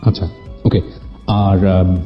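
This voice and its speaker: A man's voice: sits low, little pitch movement, takes it slowly, dull audio, faint music behind. A man with a Bangladeshi accent hosting a conversational interview show, speaking emphatically, repeating phrases and dropping in English words. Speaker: radio show host. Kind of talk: conversational interview and commentary to listeners.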